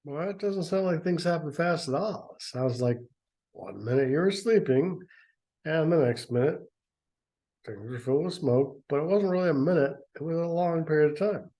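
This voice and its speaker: Man, sarcastic storyteller, mocking asides, stammers, mellow dark voice. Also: fairly high pitch, normal speed. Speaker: reader and commentator. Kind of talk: dramatic reading with sarcastic commentary.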